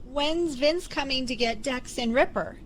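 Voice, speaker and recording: A woman's voice: high-pitched, a little slow, slight background noise. A woman playing a caring, fretting grandmother in an audio drama.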